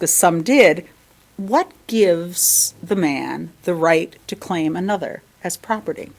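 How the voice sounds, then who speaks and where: A woman reading a sermon aloud, deliberately, with emphatic stress on key words. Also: lowish voice. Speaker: lay reader delivering a sermon at a church. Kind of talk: read-aloud sermon with brief asides.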